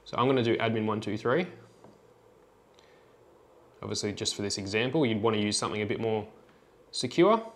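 A man's voice: on the low side, brisk, long pauses. A man presenting an informal tutorial, talking through a product demonstration step by step in a plain, instructional way.

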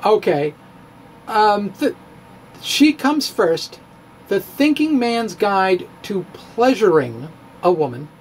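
A middle-aged man giving a dramatic, comedic reading of a physics blog, halting and theatrical with emphatic pauses. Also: high voice, very animated, slight background noise, poor audio. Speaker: blogger, stand-up physicist. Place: indoor room with bookshelves. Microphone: handheld-style microphone on stand, close.

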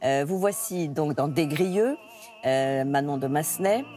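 A woman's voice: sits low, unhurried, dark tone, faint music behind. A woman hosting a TV arts interview in a studio, eagerly quoting reviews and prompting her guest. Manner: enthusiastic, quotes and prompts the guest. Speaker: TV arts show host. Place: TV studio set.

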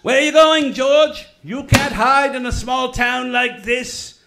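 A man's voice: very high voice, slow pace, heavy background noise, heavily acted. A man playing a greedy, sneering old male banker in an amateur radio play, mocking and contemptuous. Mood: gloating. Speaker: community theatre actor.

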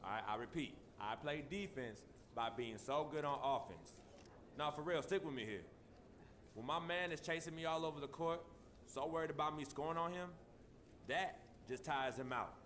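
A man's voice: fairly high pitch, normal speed, a bit bright, slight background noise, close to an everyday voice. A man acts as a cocky young pro athlete at a video game press conference. He talks in brash, slangy, dismissive answers, with faint room ambience behind him. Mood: cocky, insistent.

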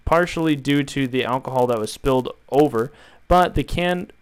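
A young man calmly walking viewers through a build, step by step, in an instructional voice-over. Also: mid-range voice, normal speed, clean tone. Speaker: DIY tutorial maker.

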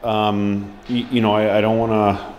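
A man in his forties speaks as a hockey head coach after a match. His voice sits very low, and he talks in halting bursts, with many ums, restarts and frank remarks. A steady hum of noise runs under the recording in a press room. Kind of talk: post-match press interview. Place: indoor press room before a sponsor board.

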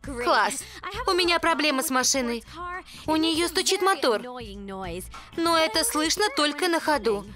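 A woman's voice: high voice, expressive, a little slow, pauses often, music in the background. A woman voicing a bubbly, reckless teenage girl angel in a cartoon dub. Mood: teasing.

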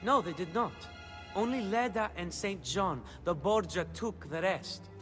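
An actor playing a cocky, cheeky young Renaissance gambler and painter's assistant in a video game. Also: male voice, sits high, a little slow, fairly bright tone, faint music behind. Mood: subdued. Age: young adult. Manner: cheeky, flippant, theatrical. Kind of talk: dramatic video game cutscene acting.

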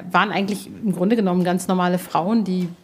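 Husky-voiced woman chatting on location. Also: lowish voice, fairly even intonation, fast talker. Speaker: podcast co-reporter. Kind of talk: casual conversation on location. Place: indoors in a museum.

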